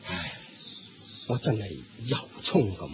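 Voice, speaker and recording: An actor with a Hong Kong Cantonese accent playing an earnest, curious wayfarer in a radio drama, with a wondering, deferential manner. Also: male voice, on the low side, big pitch swings, takes it slowly, very noisy. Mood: moved, reverent. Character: reverent, curious male wayfarer, earnest and admiring. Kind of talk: Buddhist radio drama acting. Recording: muffled lo-fi radio recording with music.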